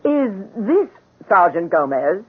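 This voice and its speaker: An actress playing a cruel, power-hungry wife in a 1970s radio drama, cool, commanding and taunting. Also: female voice, fairly low pitch, big pitch swings, slow pace, slight background noise. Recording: muffled, phone-like old radio recording.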